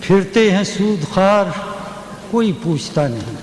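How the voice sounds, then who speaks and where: Slow older Pakistani man in echoing hall. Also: sits high, very animated, a little mellow. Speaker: guest speaker at a university ceremony. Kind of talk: humorous formal literary speech, read aloud. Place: large hall at a ceremony. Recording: noisy, echoing hall public-address recording.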